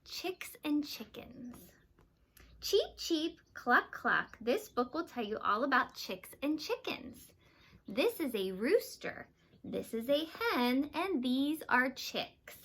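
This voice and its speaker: Lady teacher reading aloud to little kids, highish voice, really lively up and down, asks them questions. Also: takes it slowly. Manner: simple explanations with frequent questions to young children. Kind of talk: children's read-aloud lesson.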